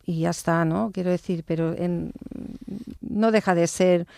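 Smooth low-voiced nurse in her fifties. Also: steady tone, unhurried, no room sound, studio quality. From born in England, raised in Barcelona. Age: 50s. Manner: thoughtful, hesitant restarts, soft trailing phrases. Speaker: nurse, interview guest. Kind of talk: conversational interview.

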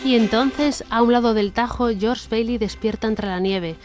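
A woman's voice: a little high, moderate pace, a little mellow, faint music behind. A woman reading scripted narration for a radio play.

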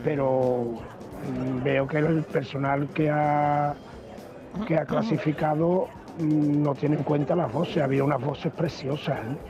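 Measured man caller, dull sound. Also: on the high side, little pitch movement, over music. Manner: polite, measured critique. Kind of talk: opinionated voice message.

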